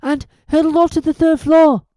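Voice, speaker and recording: A reader voicing a clever, bossy schoolgirl who speaks quickly and indignantly. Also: high-pitched, level tone, warm tone, no echo, voice pushed up, natural voice.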